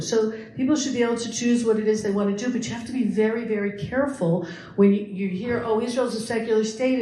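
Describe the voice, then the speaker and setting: A woman's voice: fairly high pitch, mellow. A middle-aged or older woman giving a conversational public lecture, explaining her opinions and repeating words for emphasis. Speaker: guest speaker. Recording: echoey room with faint background noise.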